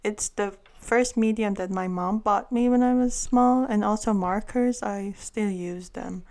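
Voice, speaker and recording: Slow, gravelly woman from Iran. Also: medium pitch, a little mellow. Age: 30s. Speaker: guest artist. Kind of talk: interview answers.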